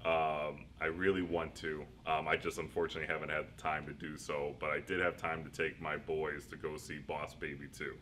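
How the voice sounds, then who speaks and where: Deep-voiced school boss guy, middle-aged, rambles and laughs at himself, faint hiss. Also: little pitch movement, fairly quick, hardly any pauses, a bit bright. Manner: rambling, self-deprecating, laughs often. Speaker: school district superintendent. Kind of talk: interview answers.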